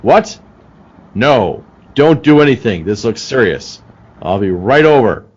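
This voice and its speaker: An actor playing a brisk, tough police detective in the style of a 1940s radio drama, speaking in clipped, urgent commands with a husky voice. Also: male voice, medium pitch, very animated, darkish tone, lo-fi sound. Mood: alarmed, firm.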